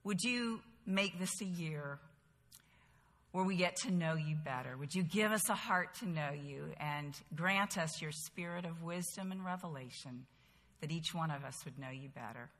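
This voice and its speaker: A woman's voice: low-pitched, moderate pace, fairly bright tone. A woman in her fifties with a light Southern accent, teaching a women's Bible study in a chatty, conversational way with humorous asides. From Bahamas, later North Carolina. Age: 50s. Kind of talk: casual talk to a group, then spoken prayer and storytelling. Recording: echoey hall.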